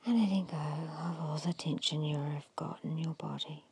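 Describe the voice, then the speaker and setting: A woman giving an ASMR guided hypnosis in a hushed, breathy whisper, slowly and soothingly instructing with long pauses. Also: low voice, steady tone, no room sound. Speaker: hypnotist guiding a meditation.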